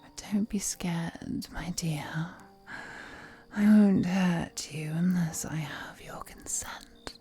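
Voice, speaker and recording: Woman acting as ancient vampire noblewoman, super slow seductive murmur, breathy and half whispered, flirty predator, music behind her. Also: on the low side, fairly even intonation, mellow. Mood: seductive, predatory. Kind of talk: intimate audio roleplay acting.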